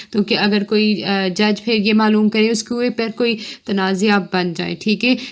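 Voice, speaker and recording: A woman giving an informal explanatory law lecture with examples, halting as she rephrases points and often checking in with short confirming tags. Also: mid-range voice.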